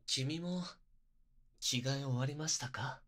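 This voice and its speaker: A young man playing a polite, unstable, possessive yandere captor in an intimate ASMR roleplay, speaking softly and breathily into a close binaural microphone. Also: medium pitch, takes it slowly. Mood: gentle, controlling. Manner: soft, breathy, polite speech, often whispering. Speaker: voice actor in situation audio.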